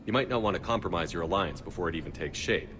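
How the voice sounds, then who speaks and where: An actor playing a steady, principled military commander in a video game, level-headed and plain-spoken. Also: male voice, low-pitched, quick pace, over music. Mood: wary, dry.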